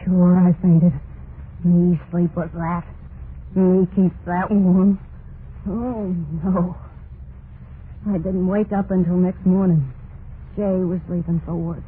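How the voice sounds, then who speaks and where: A woman's voice: on the low side, little pitch movement, slow pace, pauses often. An actress playing a hard, bitter murderous woman confessing her crime in a terse, dramatic old-time radio horror style. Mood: haunted, dramatic. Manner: terse, snappish, grimly dramatic. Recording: tinny, noisy vintage radio recording.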